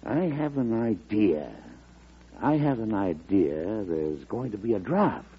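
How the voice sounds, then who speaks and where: Husky, animated old statesman. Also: lowish voice, exaggerated voice. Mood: sly, amused. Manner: avuncular, emphatic, chuckling, deliberate. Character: folksy, shrewd old male president, genial political string-puller. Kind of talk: old-time American radio drama acting. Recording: muffled old radio broadcast recording.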